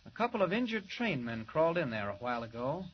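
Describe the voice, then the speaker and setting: An actor playing an earnest, confident young reporter with urgent, assured delivery in 1940s radio style. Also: male voice, fairly high pitch, sing-song, fast talker. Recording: muffled hissy 1940s radio recording.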